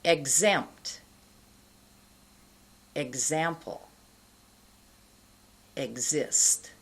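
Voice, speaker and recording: A middle-aged woman with an American accent giving an instructional pronunciation lesson, enunciating carefully and deliberately with pauses between words. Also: low-pitched, husky. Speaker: pronunciation teacher. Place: indoor studio.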